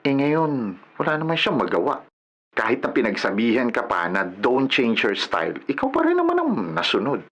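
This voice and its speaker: A man's voice: mid-range voice, huge pitch swings, normal speed, fairly bright tone. An actor with a Filipino accent playing a nosy young male friend who teases and mocks playfully in a radio drama. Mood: teasing. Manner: mocking, playful, exclaiming.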